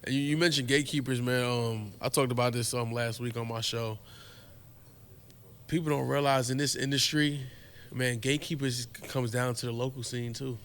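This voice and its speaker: A man's voice: mid-range voice, steady tone, normal speed, fairly bright tone, clean tone. A man hosting a casual interview, earnest, often repeating himself as he agrees. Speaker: podcast host.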